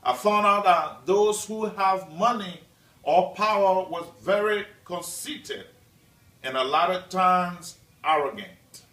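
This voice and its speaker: Student speaker from Liberia, man, fairly high voice, unhurried prepared speech, heard off-mic. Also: animated, fairly bright tone. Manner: slow, measured delivery with deliberate pauses. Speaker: student presenter. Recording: echoey room, mic at a distance.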